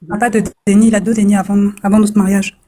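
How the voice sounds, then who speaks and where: A woman's voice: medium pitch, level tone, fast, warm tone, slight background noise. A woman caller from France telling her troubles, hesitant and distressed and often repeating herself.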